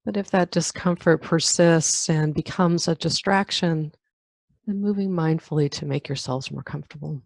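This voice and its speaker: A woman leading a guided meditation in a calm, unhurried manner, leaving long pauses between phrases. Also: deep voice, vocal fry, no room sound. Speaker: meditation guide, yoga nidra teacher. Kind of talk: guided meditation, body scan.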